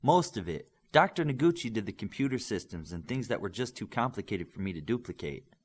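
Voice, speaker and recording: A man's voice: fairly low pitch, sing-song, a little fast, husky. A man voicing an earnest, modest young male superhero with a questioning manner in an audiobook. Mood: earnest, explaining.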